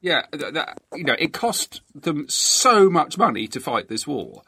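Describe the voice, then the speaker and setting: Male host, bright forceful voice, slightly high pitch, informal, conversational history interview, close dry sound. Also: very animated, a little slow, husky, no echo.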